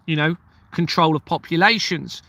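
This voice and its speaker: Earnest rambling Englishman, bright forceful voice. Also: a little high, moderate pace, vocal fry, dry recording. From Kent, southeast England. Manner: rambling, earnest, loses his train of thought. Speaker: livestream host, former police officer. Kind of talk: casual livestream chat reading viewer comments.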